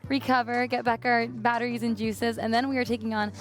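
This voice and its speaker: A woman's voice: a little high, little pitch movement, fairly quick. A young American woman from California hosting a casual football chat, upbeat and moving the talk along. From California, United States. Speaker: host.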